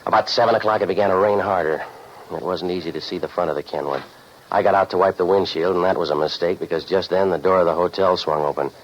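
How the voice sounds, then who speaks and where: A very low-voiced American private eye as a male actor plays him, sardonic and deadpan, telling his case like 1940s radio noir. The old recording is dull, with some background noise. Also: fast talker, lightly acted. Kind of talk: 1940s radio noir acting, scripted first-person narration.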